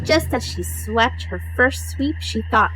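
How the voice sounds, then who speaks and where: A woman narrating a children's story as a read-aloud, with dramatic, deliberate emphasis. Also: fairly high pitch, expressive, noisy background. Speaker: storybook reader.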